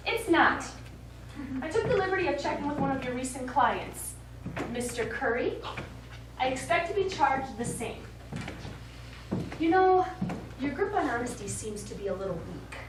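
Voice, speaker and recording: An actress playing an imperious, wealthy client in a stage play, speaking in dry, pointed, formal phrases. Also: female voice, high voice, big pitch swings, normal speed, pauses often. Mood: stern, imperious. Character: sharp-tongued, cool, imperious wealthy female client. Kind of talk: live stage play acting. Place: small indoor theater studio. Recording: echoey, noisy stage recording from far off.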